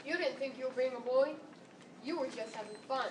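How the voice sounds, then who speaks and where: Teenage girl, readers theater delivery, strong echo, clear noise. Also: sits high, brisk. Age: young teenager. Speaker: student performer. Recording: echoey room, distant mic, background noise.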